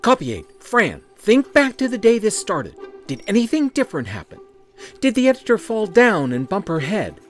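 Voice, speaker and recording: A woman's voice: mid-range voice, huge pitch swings, moderate pace, soft background music. A woman playing a businesslike, probing investigator questioning staff in a comic sci-fi audio drama.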